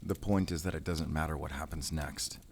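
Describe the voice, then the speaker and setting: A man playing a grim, determined werewolf hunter in an audio drama, measured, brooding and terse. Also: extremely low pitch, fairly even intonation, creaky, a little mellow, husky, full character voice. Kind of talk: cinematic audio drama acting.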